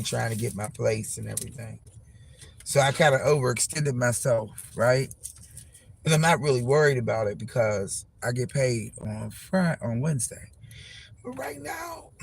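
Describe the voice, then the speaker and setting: Hoarse man with a gravelly chest cold. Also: medium pitch, slight background noise. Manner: rambling, profane, frank. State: hoarse, losing his voice. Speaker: vlogger. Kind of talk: casual vlog monologue. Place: parked car.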